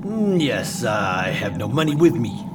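Man playing a formal, skeptical gentleman traveler. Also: mid-range voice, big pitch swings, measured pace, background noise. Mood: dryly skeptical. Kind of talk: amateur radio play acting.

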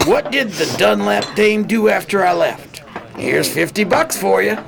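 Man acting a high, creaky 1920s gumshoe. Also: measured pace, a crowd in the background, heavily acted. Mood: pressing. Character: vengeful, slangy 1920s private detective. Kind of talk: 1920s crime radio play acting.

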